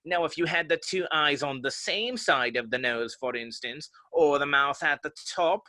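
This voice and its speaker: A man's voice: a little high, normal speed, bright, forceful tone. A man playing a haughty, touchy, pedantic egg character in a dramatic reading of a children's classic. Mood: pedantic, dismissive.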